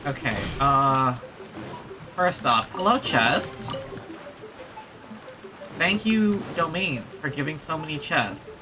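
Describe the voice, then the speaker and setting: A woman gaming streamer commenting casually as she plays, often talking to herself. Her delivery is slowish with big swings in pitch, and game music plays clearly behind her. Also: very animated. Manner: talks to self and to the game.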